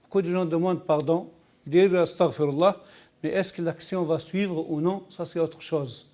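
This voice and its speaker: A man explaining religious teaching as a talk show guest, in a halting way that often repeats words. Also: on the high side, steady tone, a little mellow.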